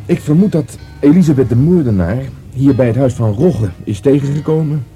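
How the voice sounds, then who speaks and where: A man's voice: mid-range voice, expressive, brisk, mellow, soft background music, close to an everyday voice. An actor playing a deferential younger police detective in a radio drama, tentative and earnest, repeating words as he reasons. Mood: thoughtful. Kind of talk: Dutch radio drama dialogue.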